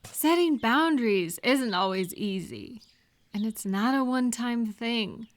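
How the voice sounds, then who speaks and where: A woman playing a kind, caring friend in a comfort roleplay audio, speaking gently and reassuringly with soft questions. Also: a little high, expressive, unhurried. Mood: warm encouragement. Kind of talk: comfort audio roleplay, one-sided conversation.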